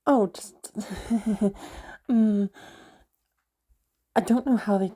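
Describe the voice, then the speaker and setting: A woman playing an anxious, sweary frost mage in an audio roleplay, muttering hesitantly and haltingly. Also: mid-range voice, warm tone. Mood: flustered, anxious. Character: flustered, sweary young frost mage trying to protect a visitor.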